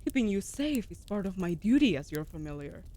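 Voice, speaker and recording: A female wolf familiar acted by a woman with a medium-pitched voice. She is earnest, protective and devoted, speaking in formal, firmly reassuring lines as in a fantasy audio drama. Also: big pitch swings, a little fast, mellow, slight background noise, close to an everyday voice. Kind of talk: scripted fantasy audio roleplay.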